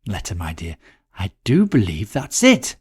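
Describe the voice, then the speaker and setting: Man as a genteel, lively-pitched lady sleuth. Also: a little slow, slightly rough, full character voice. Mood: excited realisation. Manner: polite, thoughtful, probing. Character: genteel, shrewd, sympathetic lady amateur detective with a cat.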